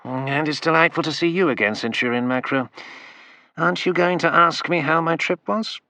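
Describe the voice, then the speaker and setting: A man voicing a smooth, ironic male imperial agent in an audiobook, speaking flatly with dry wit. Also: fairly high pitch, normal speed, dry recording, pitched above the performer's own voice. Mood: wry.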